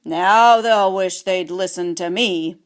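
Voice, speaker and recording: A woman's voice: fairly low pitch, slow pace. A woman voicing a boy's firm, emphatic uncle in a children's storybook. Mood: stern, resolved. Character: stern adult uncle of a boy, firm.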